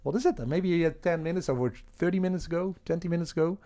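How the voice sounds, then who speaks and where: A man with a Dutch accent talks loosely to his livestream chat from home, restarting sentences and chuckling as he goes. His voice sits a little on the high side for a man. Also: fast talker, mellow. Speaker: livestream host.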